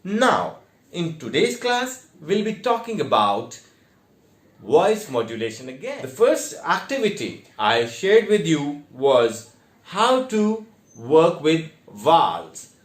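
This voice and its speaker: Indian guy teaching drama class, kinda high voice that goes up and down a lot, echoey room. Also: very animated, slow. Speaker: theatre teacher. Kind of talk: instructional online lesson with demonstrations.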